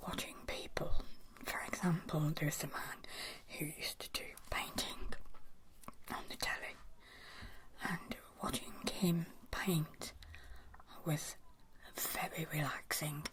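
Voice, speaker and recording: A woman whispering softly and gently as she hosts and explains in an ASMR style. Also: low-pitched, level tone, low-quality recording. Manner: soft, gentle, slow and deliberate whispering. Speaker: ASMR video creator and host.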